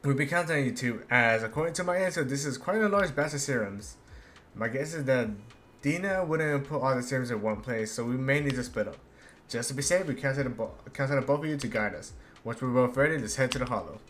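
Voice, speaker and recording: A man's voice: medium pitch, a bit bright. A man streaming a video game with casual commentary, stumbling a little as he reads dialogue aloud. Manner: halting, stumbles while reading aloud. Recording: clear background noise.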